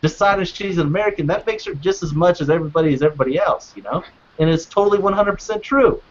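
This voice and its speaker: A man co-hosts a casual podcast, swearing often and wandering through his points with restarts and fillers. His voice sits high for a man. The recording is dull and lo-fi. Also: fast. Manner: profane, rambling, with restarts and fillers. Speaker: podcast co-host. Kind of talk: casual chat.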